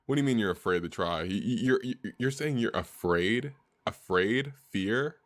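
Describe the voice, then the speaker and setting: A man live streaming and chatting excitedly, often repeating words in quick bursts. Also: on the low side. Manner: excitable, repeats himself rapidly.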